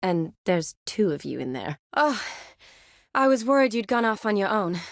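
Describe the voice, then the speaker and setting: An actress playing a young army medic in a fantasy video game, a warm, playful friend with an expressive, teasing delivery. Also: female voice, mid-range voice, normal speed, creaky, no echo, clean studio sound. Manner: expressive, teasing, sighs and soft chuckles.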